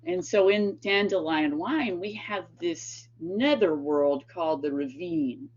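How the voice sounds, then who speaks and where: Woman, East Tennessee accent, lowish voice, chatty storyteller, lecture talk. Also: slow. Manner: chatty, rambling anecdotes with many fillers and laughs. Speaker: guest lecturer and author. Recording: dull lo-fi sound.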